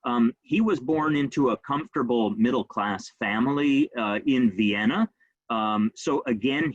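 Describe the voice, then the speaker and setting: Brisk, conversational man lecturing. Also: mid-range voice, no room sound, highs cut off. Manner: conversational, quick, many ums and uhs. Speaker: rabbi giving a history lecture. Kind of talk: informal illustrated talk.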